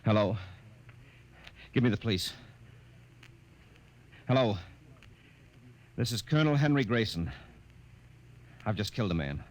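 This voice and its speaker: A man's voice: on the low side, expressive, measured pace, pauses often, darkish tone. A man acting in a 1950s radio drama as a stern, short-tempered army colonel, brusque and indignant. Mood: grim.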